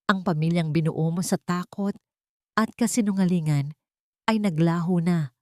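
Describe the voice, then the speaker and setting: A woman voicing a resolute, forgiving young mother with a solemn, measured delivery in an audiobook drama. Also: on the low side, dry recording, studio quality, lightly acted.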